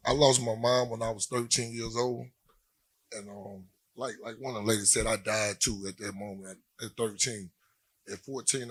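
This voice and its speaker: Husky man telling his story. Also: fairly low pitch, a bit bright, low-quality recording. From Louisiana. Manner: plain-spoken, halting with many "um" pauses. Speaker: panel guest, former prisoner. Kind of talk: personal testimony on a panel.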